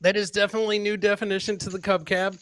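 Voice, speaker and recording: A man's voice: sits high, level tone, quick pace, very noisy. A man hosting a comedy podcast, casually commenting on clips in a boisterous, slangy way with repeated catchphrases.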